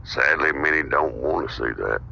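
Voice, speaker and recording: Very deep-voiced earnest man. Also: normal speed, creaky, slight background noise. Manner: earnest, opinionated, steady explaining. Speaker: guest podcast host. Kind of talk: casual political discussion. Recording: dull lo-fi audio.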